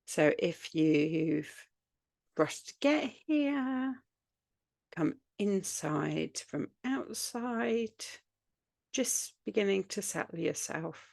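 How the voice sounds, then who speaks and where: A woman guiding a yoga nidra relaxation, speaking soothingly in short, unhurried phrases with long pauses between them. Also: medium pitch, expressive, gravelly, a little mellow, no room sound, clean studio sound. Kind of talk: guided meditation, spoken relaxation practice.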